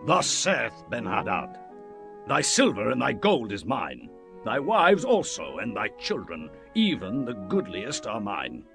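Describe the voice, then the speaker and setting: Gravelly, commanding royal messenger. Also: male voice, lowish voice, huge pitch swings, unhurried, heavily acted. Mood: stern demand. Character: commanding messenger of a warlike king. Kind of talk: dramatized Bible reading. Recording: music bed under the voice.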